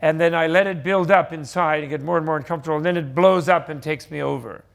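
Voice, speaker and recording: A man's voice: on the high side, quick pace, vocal fry. An older man giving a conversational teaching talk on stage, rambling animatedly through explanations and correcting himself mid-sentence. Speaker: panel speaker on Buddhist teachings. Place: live event stage with audience.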